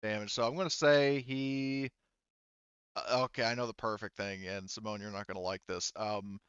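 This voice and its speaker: A man running a tabletop roleplaying game as game master, narrating and refereeing in a halting, think-aloud way. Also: lowish voice, steady tone, fairly bright tone, clear, resonant tone, no echo, muffled recording.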